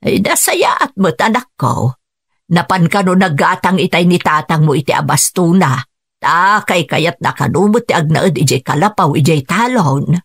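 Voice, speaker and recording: Husky, low-voiced Ilocano mother. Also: animated, moderate pace, no room sound, studio quality. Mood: reassuring. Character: calm, reassuring rural mother of grown children. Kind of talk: Ilocano radio drama acting.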